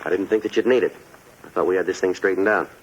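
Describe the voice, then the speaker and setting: An actor playing a dogged, level-headed police detective in a 1950s radio drama, clipped and matter-of-fact. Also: male voice, low-pitched, fast, husky. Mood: persuasive, calm. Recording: muffled, noisy old 1950s radio recording.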